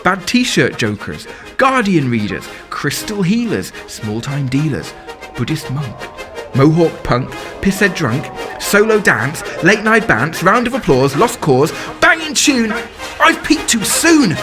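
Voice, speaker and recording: Lively, lovestruck young man, over music. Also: fairly high pitch, unhurried. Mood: rhythmic, euphoric. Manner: poetic, rhythmic, confessional delivery. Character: lovestruck young man at a festival, anxious and excitable. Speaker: solo performer. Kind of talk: dramatic first-person storytelling monologue. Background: music and sound design behind the voice.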